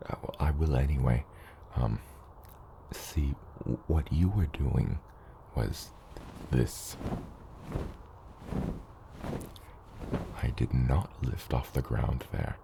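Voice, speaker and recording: An extremely low, gravelly dragon father, acted by a man, mentors a hatchling in an ASMR roleplay. He takes his time, patient and gently teasing, with some whispery edges. Clear ambient noise sits behind the voice. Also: full character voice. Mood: hesitant, gently critical. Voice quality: very deep, gravelly, partly whispery. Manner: slow, measured mentoring with hesitant asides.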